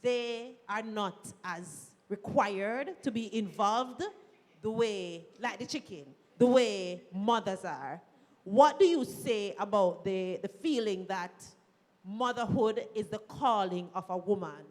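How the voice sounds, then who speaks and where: A woman's voice: medium pitch, slow. A woman moderating a live panel discussion in a chatty, playful, teasing manner. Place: event hall, live audience. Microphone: handheld mic. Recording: long hall reverb at live event.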